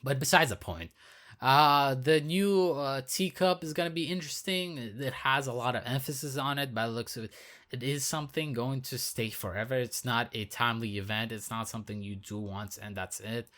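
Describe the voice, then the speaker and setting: A hoarse man who is losing his voice, giving casual, rambling commentary on a game update as a video creator. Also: mid-range voice, normal speed, fairly bright tone. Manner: rambling, filler-heavy, repeats himself.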